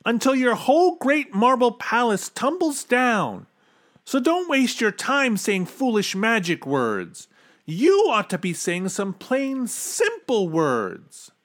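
A man's voice: high-pitched, sing-song, takes it slowly, no room sound, studio quality, pitched above the performer's own voice, exaggerated voice. A man voicing a bold, earnest and outspoken boy in a storybook, scolding and speaking his mind. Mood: angry, shouting. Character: plain-spoken, bold boy scolding a king. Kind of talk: storybook character voice.

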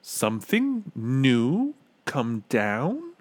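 A man's voice: medium pitch, huge pitch swings, extremely slow, no echo, voice pushed up, full character voice. A man voicing a young page boy who earnestly pleads with his king, in a storybook reading. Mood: pleading, anxious. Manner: pleading, reasoning.

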